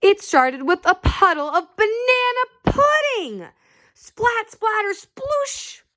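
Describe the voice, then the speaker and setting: A woman's voice: very high-pitched, very animated, slow, pushed and bright. A woman reading a children's picture book aloud expressively, phrase by phrase, with dramatic emphasis. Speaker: storybook reader.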